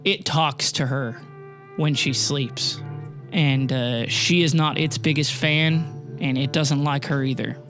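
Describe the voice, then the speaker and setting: A game master voicing a weary, conflicted man who runs a town, speaking in a halting, confiding way. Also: mid-range voice, steady tone, a bit bright, voice pushed down. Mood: resigned. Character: Summer, weary, conflicted young man running a frontier town. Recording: music bed under the voice.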